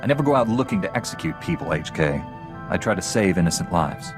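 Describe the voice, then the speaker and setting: A principled young Jedi knight, dry and firm. His voice sits a little low, with game music behind him. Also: big pitch swings, fast. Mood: steady. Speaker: player character. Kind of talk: video game voice acting.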